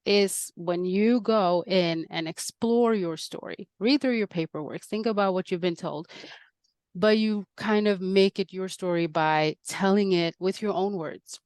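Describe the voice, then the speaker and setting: A woman's voice: mid-range voice, unhurried, a little mellow, no room sound. A woman in her forties, born in Colombia, telling her own story earnestly as a podcast guest. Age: 40s. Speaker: guest, adoptee. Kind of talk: reflective interview talk.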